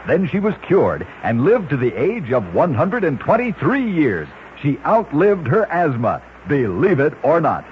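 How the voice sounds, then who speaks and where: A man reading strange-fact stories in a brisk scripted radio announcer style, from an old radio show recording. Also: a little high, wildly swooping pitch, dull audio, slight background noise. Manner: brisk announcer delivery of odd facts.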